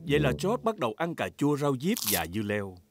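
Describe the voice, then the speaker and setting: A man's voice: medium pitch, big pitch swings, brisk. A man narrating a children's cartoon in a storytelling way, phrased simply for young viewers. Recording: loud music behind the narration.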